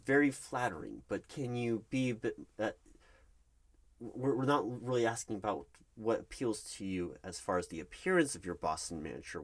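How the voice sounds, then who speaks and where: A man's voice: low voice, lightly acted. A man playing a nervous, polite recruitment manager in an ASMR interview roleplay, hesitant and stammering as he stays diplomatic. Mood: awkwardly flattered. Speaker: job interviewer. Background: computer keyboard typing.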